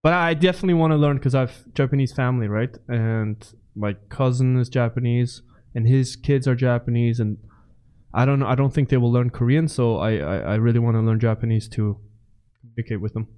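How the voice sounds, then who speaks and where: A man's voice: mid-range voice, brisk, mellow, clear, resonant tone. A man co-hosting a casual podcast, enthusiastic and rambling, laughing often. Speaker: podcast co-host. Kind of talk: casual chat.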